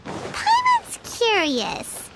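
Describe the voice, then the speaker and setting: A woman voicing a tiny, childlike female fairy companion in a video game, squeaky, blunt and curious. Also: wildly swooping pitch, drawn-out words, heavy background noise, heavily acted. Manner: blunt, speaks in third person. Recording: music behind the voice.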